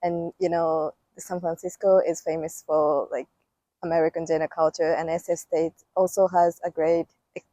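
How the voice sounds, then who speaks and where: A woman's voice: deep voice, little pitch movement, normal speed, mellow, dry recording. A young woman from Japan with a Japanese accent, a student giving earnest, simply phrased interview answers.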